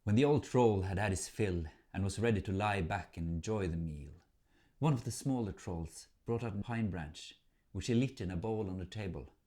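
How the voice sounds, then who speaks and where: A man narrating a folk tale as a storyteller, in a theatrical, measured manner. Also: low voice, mellow.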